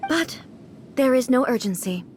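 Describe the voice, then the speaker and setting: A woman playing an aloof, composed immortal goddess and ruler in an anime-style game, speaking in a formal, measured, matter-of-fact way. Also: high-pitched, animated, a little hiss or hum. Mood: calm, reflective.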